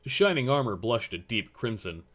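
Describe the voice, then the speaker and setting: Man narrating a story at medium pitch. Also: big pitch swings, normal speed, fairly bright tone. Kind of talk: scripted fan fiction story narration.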